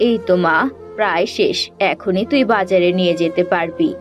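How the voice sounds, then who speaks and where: A woman plays a poor village mother from an animated folk tale. She is gentle and weary, speaking with care and quiet resignation. Light music sits behind the voice. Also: lowish voice, moderate pace, highs cut off, natural voice. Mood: caring. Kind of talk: Bengali animated fairy-tale voice acting.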